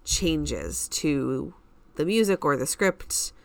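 A woman's voice: deep voice, lively intonation, slow pace, vocal fry, no echo. A woman co-hosting a theater podcast, chatting and bantering casually.

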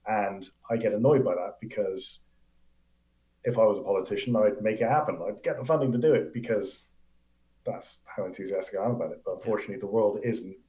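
Guest: English man, low voice, keen and chatty, roomy echo. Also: a little fast, dark tone. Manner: enthusiastic, chatty, laughs often. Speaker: guest astrophysicist. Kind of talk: casual live Q&A chat.

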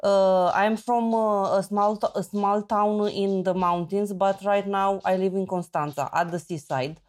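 A woman's voice: mid-range voice, fairly even intonation, measured pace, a little mellow. A woman speaks English casually in a mid-range voice. She often stops with "uh" while searching for words. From Romania. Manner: searching for words, many uhs. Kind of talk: casual podcast chat.

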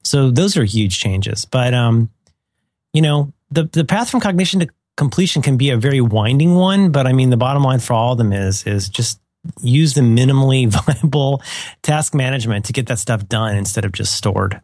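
A slightly hoarse man co-hosting a podcast, chatting casually in a rambling, self-deprecating way. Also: mid-range voice, lively intonation, moderate pace, a little mellow, no echo. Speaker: podcast co-host. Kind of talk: casual chat.

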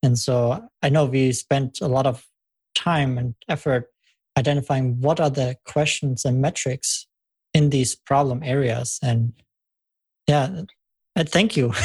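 A podcast host leading a conversational interview, thanking the guest and asking questions with small halting restarts. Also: medium pitch, a little mellow, slightly rough.

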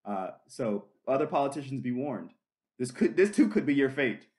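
A man's voice: mid-range voice, lively intonation, moderate pace, a little mellow. A middle-aged man hosting a casual chat, earnest and full of fillers and restarts. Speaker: podcast host.

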